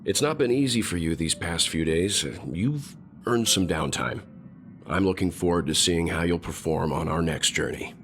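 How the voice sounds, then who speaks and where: A wise older man from a video game speaks in a low voice. He sounds dignified and encouraging, measured in his phrasing, with faint music behind. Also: fairly bright tone. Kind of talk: video game character dialogue.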